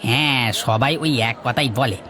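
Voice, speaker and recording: A man's voice: medium pitch, normal speed, pushed and bright, a faint crowd, slight background noise, natural voice. A man voicing a brash, teasing village farmer with an offhand manner in a cartoon folk tale. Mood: teasing, casual. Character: brash, teasing village banana farmer, older brother type.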